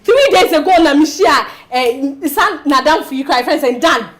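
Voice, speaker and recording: Wanita memerankan wanita muda gelisah bernada tinggi. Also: female voice, high voice, expressive, moderate pace, fairly bright tone. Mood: bitter. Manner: agitated, complaining. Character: distressed young woman, jilted by lover.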